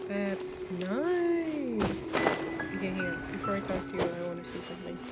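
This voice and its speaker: A woman's voice: medium pitch, sing-song, normal speed. A woman chatting casually as she streams a game. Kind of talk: casual livestream chat while playing. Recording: loud game music behind the voice. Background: game music.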